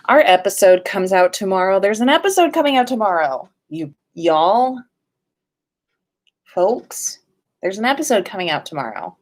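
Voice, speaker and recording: A woman's voice: medium pitch, sing-song, moderate pace. A woman hosting a casual livestream in a playful, informal way, sometimes sing-song and casually sweary. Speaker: livestream host.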